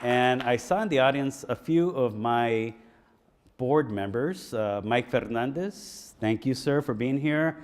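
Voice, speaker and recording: A man hosting an event, making formal introductions with halting speech full of uhs and restarts. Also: medium pitch, smooth. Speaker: host of the event. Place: large conference hall. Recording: echoey hall with long reverb.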